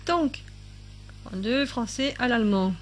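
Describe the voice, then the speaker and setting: A woman with a fairly high voice talks through a screen-recorded tutorial at her computer. She chats loosely, filling pauses with hesitations and thinking aloud, and sometimes sounds out subtitles in other languages. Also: expressive. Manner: chatty, thinks aloud with many fillers. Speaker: YouTube tutorial maker.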